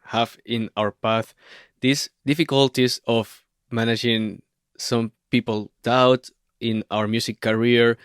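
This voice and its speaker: Brief: Venezuelan-accented male podcast host, smooth and resonant, encouraging, dry close mic. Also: medium pitch, flat delivery, dry recording. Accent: Venezuelan Spanish. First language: Spanish. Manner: warm, affirming, measured questions. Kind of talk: interview podcast.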